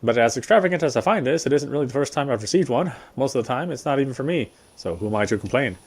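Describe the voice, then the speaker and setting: A man with a medium-pitched voice reads out the narration of a story game. He goes through it in a measured storytelling manner, like a player sharing the text with viewers. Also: expressive, husky. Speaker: gameplay streamer reading aloud. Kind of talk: reading story narration aloud.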